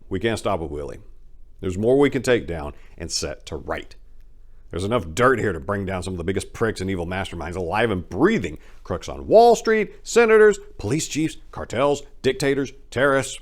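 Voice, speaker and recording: Man playing an impassioned, idealistic younger crime fighter, low-voiced. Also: very animated, normal speed. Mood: fired up. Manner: emphatic, speechifying.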